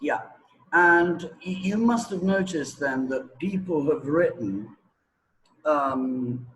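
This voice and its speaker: A man speaks at an unhurried pace, explaining in a conversational, teacherly way. He checks in with the listener as he goes. There is clear noise behind his voice. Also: a little high, expressive, fairly bright tone, poor audio. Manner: didactic, checking with "right?", "okay?", "yeah?". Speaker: interviewed language teacher.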